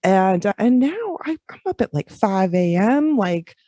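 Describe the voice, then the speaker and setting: A man's voice: sits high, very animated, a little slow, dark tone, no echo. A man in his forties co-hosting a podcast in casual chat. He jokes and laughs often. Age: 40s. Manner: laughs often, chatty and joking.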